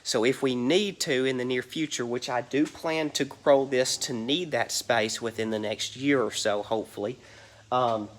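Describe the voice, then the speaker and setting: A man's voice: medium pitch, moderate pace. A man giving a casual walk-through of a large warehouse, rambling with lots of ums and a stuffy, nasal voice from allergies. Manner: rambling, many ums and "you guys". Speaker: reseller giving a warehouse tour.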